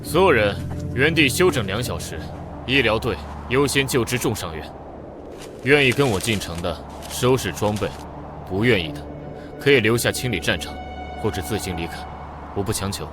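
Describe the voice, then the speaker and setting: A very low-voiced male hero commander played by a man in an animated comic drama. He is cool and controlled, and every order or threat carries quiet menace. Loud music plays behind him. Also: moderate pace, natural voice. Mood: cool, resolute. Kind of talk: motion-comic drama dubbing.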